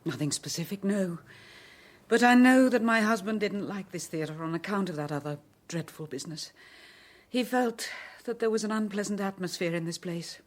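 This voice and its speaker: An actress playing a composed, resolute leading lady and widow in a radio drama, speaking formally and deliberately. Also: mid-range voice, close to an everyday voice. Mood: grave, composed.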